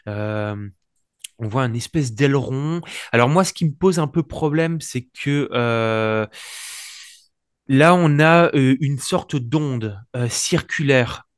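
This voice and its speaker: A man's voice: medium pitch, slow, dry recording, studio quality. A man co-hosting a paranormal news show, talking casually and hesitantly with many fillers and restarts. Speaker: co-host. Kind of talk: casual discussion.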